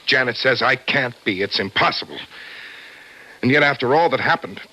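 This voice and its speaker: A man's voice: mid-range voice, animated, normal speed, sharp tone, no room sound, rough recording. An actor playing a distraught young man confessing to murder in an old radio drama, stammering and repeating himself. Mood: frantic, pleading. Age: young adult. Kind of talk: mid-century radio drama acting.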